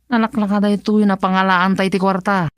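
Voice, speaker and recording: A man's voice: sits high, little pitch movement, moderate pace, no room sound, studio quality, natural voice. A man playing a cocky crook who laughs and reassures his partner in an Ilocano-accented radio drama. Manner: swaggering, laughing.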